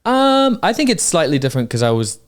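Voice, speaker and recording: A young man from England, a podcast guest in relaxed casual chat, who often laughs and hesitates. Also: fairly high pitch, fairly quick.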